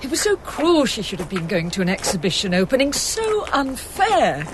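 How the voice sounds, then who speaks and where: An actress playing a polite, anxious gallery assistant in a radio drama, chattering nervously. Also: female voice, a little high, huge pitch swings, normal speed, background noise. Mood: fretful.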